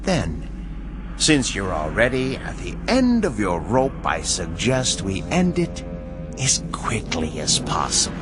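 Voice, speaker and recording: An actor in a radio drama playing a menacing supernatural judge, cold and mocking, with measured, mock-clinical questioning. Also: male voice, lowish voice, exaggerated voice. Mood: soft, ominous. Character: menacing male supernatural judge, coldly tormenting a doctor.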